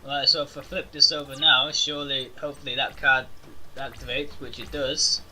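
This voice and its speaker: A man's voice: flat delivery, moderate pace, pushed and bright, poor audio. A man hosting a gaming channel, chatting casually to viewers and thinking aloud as he plays a card game. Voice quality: self-described high-pitched voice. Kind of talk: casual let's-play commentary.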